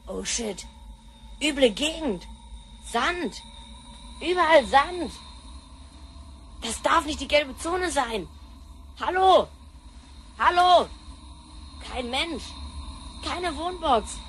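An actress playing a brash, distressed futuristic child in a radio play, with a young, childlike voice. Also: female voice, high-pitched, a bit bright, a little hiss or hum, full character voice. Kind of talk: German radio play acting.

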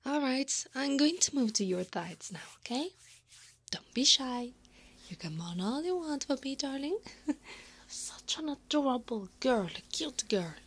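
Soft whispering centaur woman, acted by a woman, teasing. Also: sits high, animated, a little slow, exaggerated voice. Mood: seductive, reassuring. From Italy. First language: Italian. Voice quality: breathy, often whispering. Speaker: ASMR roleplay performer. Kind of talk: ASMR roleplay.

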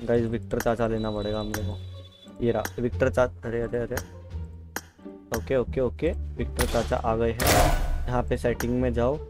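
A man's voice: medium pitch, level tone, moderate pace. A man doing casual gameplay commentary, chatting to viewers and repeating his phrases. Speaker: gaming video creator. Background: game music.

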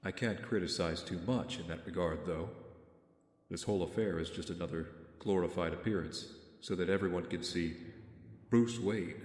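A man playing a guarded young billionaire in an audio drama, speaking in a measured, formal way. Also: low voice, lightly acted. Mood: brooding. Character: brooding, guarded young billionaire with a secret mission. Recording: roomy reverb, faint music behind.

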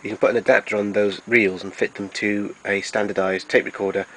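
Low-pitched man demonstrating gadgets, noisy recording. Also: little pitch movement, fairly quick, a bit bright. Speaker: collector presenting his tape recorders. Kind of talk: informal product demonstration narration.

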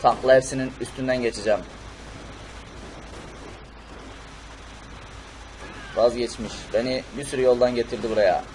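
Guy doing a cheeky pushy superhero for a cartoon, talks fast, slight background noise. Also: mid-range voice, fairly even intonation, darkish tone, natural voice, low-quality recording. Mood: angry. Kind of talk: amateur cartoon-style game video dub.

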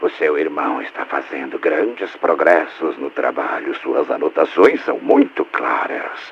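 A sinister male game villain, extremely low and gravelly. He speaks with smug, mocking politeness and measured menace. The sound is a muffled, noisy tape. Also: expressive, full character voice. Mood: condescending. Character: calm, condescending, sinister male mastermind villain. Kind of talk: dubbed video game acting. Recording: muffled noisy taped radio-like recording.

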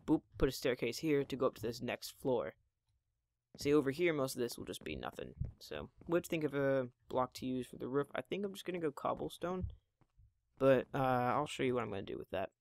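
A hoarse teenage boy in his mid-teens, with occasional voice cracks, chatting casually while playing a building game at home. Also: mid-range voice, lively intonation, fairly quick, darkish tone, a little hiss or hum. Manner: rambling, chatty, self-deprecating. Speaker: Minecraft gameplay channel host. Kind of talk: casual gameplay commentary.

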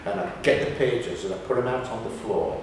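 Older bloke from the north of england telling stories, stop-start, super echoey and far from the mic. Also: male voice, medium pitch, big pitch swings. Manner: halting, repeats words, anecdotal. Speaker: pantomime writer and actor. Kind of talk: casual interview chat. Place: small indoor room, seated on sofa. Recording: echoey room, distant mic, faint noise.

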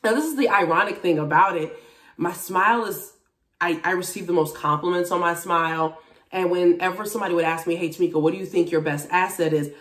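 Female vlogger, around forty, lowish voice, expressive and frank delivery, roomy home sound with a distant mic. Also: fairly quick. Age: about 40. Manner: candid, expressive, emphatic. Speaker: vlogger, TV and radio personality. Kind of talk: casual chat to camera about a personal journey. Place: small indoor room at home. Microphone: camera a few feet away. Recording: roomy echo, distant microphone.

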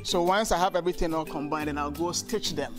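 A man's voice: a little high, fast talker, over music. A middle-aged man with a Ghanaian accent, explaining his work as an interview guest. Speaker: interview guest, shoemaker and business founder. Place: bright glass-walled TV studio. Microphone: lapel mic.

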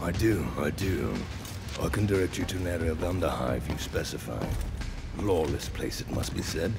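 A man voicing a sly, gravelly guild broker in a video game cutscene, measured and insinuating. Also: rumbling low. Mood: sly, placating. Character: sly, shady male guild broker of the underworld. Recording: loud music bed under the voice.

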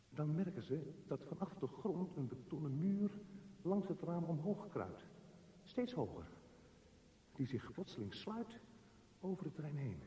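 An actor playing a brooding, observant train traveller, musing in halting fragments in a radio drama. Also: male voice, mid-range voice, mellow. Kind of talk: radio drama interior monologue. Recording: noisy radio play, echoey, reverberant.

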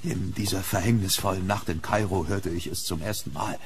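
A man playing a fearful, hunted gentleman in a German horror radio play, speaking with dramatic urgency. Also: low-pitched, a little fast, noisy background. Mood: grim. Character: hunted, fearful English gentleman haunted by a demon.

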